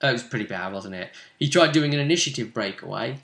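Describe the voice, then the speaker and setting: A man's voice: mid-range voice, animated, fast talker, vocal fry, fairly bright tone. A man casually recapping a tabletop role-play session as its game master, in conversation. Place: indoor room. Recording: roomy echoing home recording.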